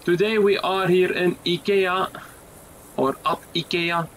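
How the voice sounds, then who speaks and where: A man's voice: high voice, steady tone, slow. A young man from Belgium with a Dutch accent, a native Dutch speaker, giving casual, hesitant gameplay commentary in English. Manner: plain, hesitant, with frequent fillers. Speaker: gaming YouTuber. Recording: game truck sounds underneath. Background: loud truck engine and road noise.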